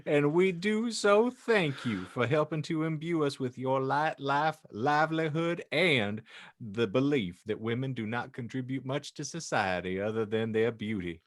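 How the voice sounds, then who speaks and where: A man's voice: medium pitch, expressive, a little slow, no room sound, studio quality. A man hosting a comedy podcast, reading fan fiction in a mock-solemn storybook voice and chatting wryly. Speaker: podcast host and narrator.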